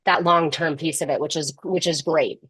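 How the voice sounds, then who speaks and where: A middle-aged woman speaking as a podcast guest, candid and measured, often restarting her sentences. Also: sits low, steady tone, fairly bright tone, breathy edge, no echo. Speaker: podcast guest, tech executive. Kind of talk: casual interview conversation.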